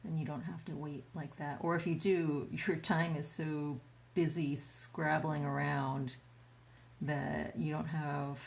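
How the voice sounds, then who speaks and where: A woman's voice: deep voice, flat delivery, slow, gravelly, darkish tone, husky. A woman from the United States, raised speaking Chinese first, chatting casually with viewers on a livestream while she paints and reads their comments aloud. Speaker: artist hosting a livestream Q&A.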